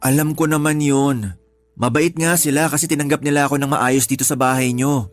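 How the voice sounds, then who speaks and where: An actress playing a jealous, insecure young lover with a Filipino accent in a radio drama, sulky and grumbling in manner. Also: female voice, very deep voice, fairly even intonation, a little fast. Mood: insecure, apologetic.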